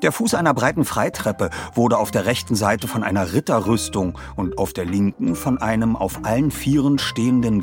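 Male narrator for scripted fiction, medium-pitched with lively melody, measured and expressive. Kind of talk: scripted audiobook storytelling with voiced dialogue.

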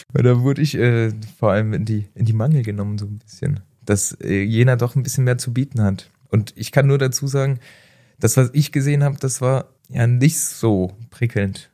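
A relaxed man from Saarland chatting on a podcast in a mid-range voice. He laughs easily and hesitates with fillers as he goes. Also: medium pitch, normal speed. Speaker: podcast co-host, former footballer. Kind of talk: casual chat.

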